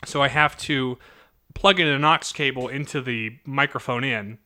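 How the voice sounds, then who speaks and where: Young guy on a podcast, bright sharp voice, jokey and quick. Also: medium pitch. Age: 20s. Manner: quick, chatty and joking. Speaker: podcast co-host. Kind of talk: casual chat.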